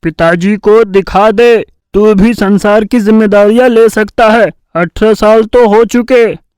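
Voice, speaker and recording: Man voicing a high-pitched, sly cartoon village thief. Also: fairly even intonation, a little mellow, smooth, dry recording. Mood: coaxing. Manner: bragging, drawn-out cajoling. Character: boastful scheming male village thief, sly and lazy. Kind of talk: Hindi cartoon fairy-tale dubbing.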